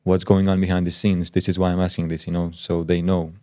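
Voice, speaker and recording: Mellow, low-voiced male interviewer. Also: little pitch movement, fairly quick, clear, resonant tone, no echo, studio quality. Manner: wordy, hedging, rephrases his questions. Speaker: interviewer, podcast co-host. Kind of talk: casual interview questioning.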